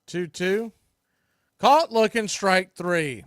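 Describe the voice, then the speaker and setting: A man calling baseball play-by-play for a fan radio stream, steady, lifting his voice on big plays. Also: high-pitched, takes it slowly, dry recording, clean studio sound. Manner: steady calls, rising excitement on big plays. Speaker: play-by-play announcer.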